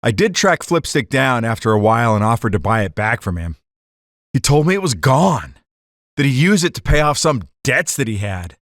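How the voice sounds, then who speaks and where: A man's voice: medium pitch, sing-song, normal speed, fairly bright tone, dry recording, studio quality. A man playing a hot-headed card-cheating gangster telling his story in slangy 1940s radio drama style. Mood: narrating, bitter.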